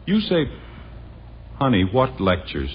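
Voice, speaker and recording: A man's voice: mid-range voice, huge pitch swings, takes it slowly, breathy edge, exaggerated voice. An actor playing a wry, put-upon middle-aged father in a 1950s radio sitcom, sarcastic and exasperated. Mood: incredulous. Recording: dull, noisy vintage radio broadcast.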